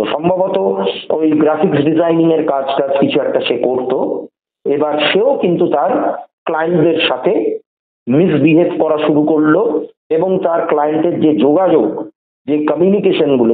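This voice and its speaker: A tinny phone line carries a Bengali man's slightly high voice, with a roomy echo around it. He tells his story in a conversational way, deliberately, repeating phrases to underline each point. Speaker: podcast guest telling a horror story. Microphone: phone call.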